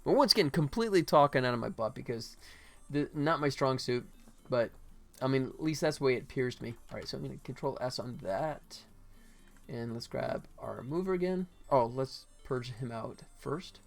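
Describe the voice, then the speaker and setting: Male game-dev streamer, mid-pitched, off-the-cuff and self-muttering, music underneath. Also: lively intonation, moderate pace, gravelly. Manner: muttering to himself, then chatting to viewers. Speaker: game developer live streamer. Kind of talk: casual live stream chat while working.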